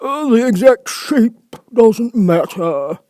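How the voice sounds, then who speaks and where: A grave, self-important Dodo from a storybook. The voice is pushed high and loud, swooping widely. It hesitates and clears its throat before stately, drawn-out pronouncements. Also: male voice, lively intonation, no echo, clean studio sound, exaggerated voice. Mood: declaring. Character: grave, pompous male Dodo, hesitant and solemn.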